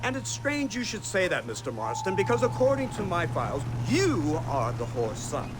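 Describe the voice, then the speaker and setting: An actor playing a smug, condescending government agent in a video game, with a pompous, sardonic and eloquent manner. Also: male voice, high voice, wildly swooping pitch, moderate pace. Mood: mocking. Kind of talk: video game cutscene acting. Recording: loud car engine noise behind.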